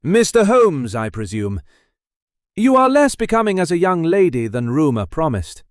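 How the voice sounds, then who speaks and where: A man voicing an elegant middle-aged villain, soft and cultivated, faintly amused and mockingly polite, in an audiobook mystery. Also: a little high, big pitch swings, normal speed, no room sound, clean studio sound, voice pushed up. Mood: suave mockery. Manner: suave, mocking, over-polite.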